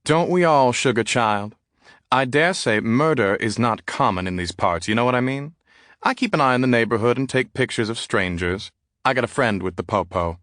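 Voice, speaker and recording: Audiobook character: flamboyant gossipy Southern man, drawling and theatrical, pitched high as a male narrator puts him on. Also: animated, moderate pace, a bit bright, no room sound, studio quality, close to an everyday voice.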